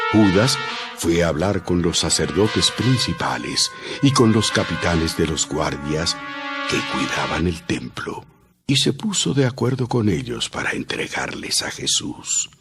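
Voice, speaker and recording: A man narrating scripture in a measured, solemn storytelling manner for an audio drama. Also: low-pitched.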